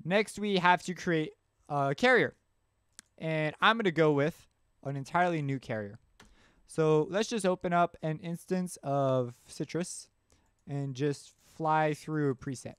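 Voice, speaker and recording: Male tutorial host, slightly high, clean voice, informal think-aloud delivery. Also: measured pace, fairly bright tone, smooth, dry recording, clean studio sound. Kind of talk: casual software tutorial narration. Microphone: close mic screencast voice-over.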